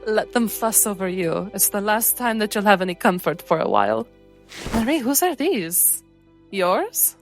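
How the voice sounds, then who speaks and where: A woman's voice: mid-range voice, animated, normal speed, soft background music, natural voice. A woman playing a worldly, protective adventurer, affectionate and self-assured, in a reassuring, familiar manner. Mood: knowing.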